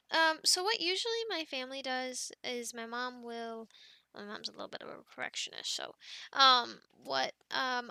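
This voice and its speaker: Bright-voiced young woman, chatty ASMR roleplay. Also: high-pitched, no room sound. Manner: soft, chatty and rambling, with many ums and light laughs.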